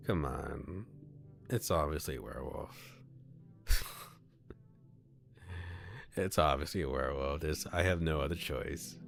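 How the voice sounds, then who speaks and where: A man's voice: very deep voice, a little slow, creaky, long pauses, soft background music. A man streaming a game, reading his choices aloud with chatty comments and frequent laughs.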